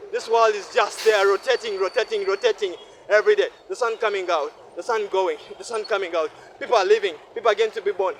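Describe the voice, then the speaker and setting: Young ugandan guy, high voice, preaching at himself on a night walk, faint traffic behind. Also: a bit bright, low-quality recording. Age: 20s. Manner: repetitive, preachy, talks to himself in loops. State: sweaty after walking fast. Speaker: vlogger. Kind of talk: rambling night-walk monologue. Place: city street at night.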